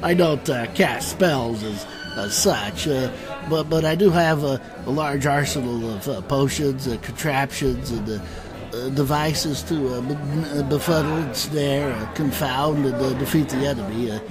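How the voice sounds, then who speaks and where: An actor playing a fussy, pedantic sage and inventor in a comic fantasy audio drama, halting, long-winded and pompous. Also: male voice, medium pitch, close to an everyday voice. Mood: proud, flustered. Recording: music playing behind the voice.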